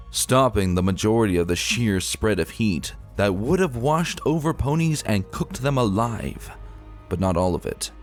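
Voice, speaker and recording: A man giving a dramatic, solemn and measured reading of a dark fantasy story. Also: lowish voice. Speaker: narrator reading fan fiction. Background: music.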